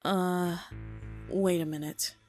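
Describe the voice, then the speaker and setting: A woman's voice: lowish voice, fairly even intonation, slow pace, a little mellow. A woman playing a dry, level-headed doctor. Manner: dry and exasperated. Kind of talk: amateur game fan dub. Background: faint music.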